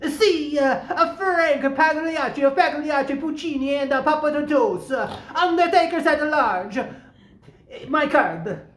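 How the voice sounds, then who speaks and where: A woman playing a hapless, slow-witted male stooge in a stage farce, stammering and fumbling for words as he bluffs. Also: high-pitched, big pitch swings, reverberant, exaggerated voice. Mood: bluffing, halting. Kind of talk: stage farce play reading.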